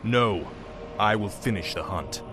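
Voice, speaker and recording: A man's voice: fairly low pitch, lively intonation, a little slow. An actor playing a cold, arrogant young undead warrior king in a video game cutscene, commanding and menacing in formal speech. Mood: grim, resolute. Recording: music behind the voice.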